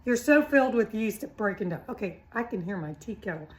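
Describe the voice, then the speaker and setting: A woman's voice: mid-range voice, lively intonation, fairly quick. A middle-aged woman giving an informal, chatty health talk, blunt and teasing, firing rhetorical questions at the listener. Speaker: health vlogger.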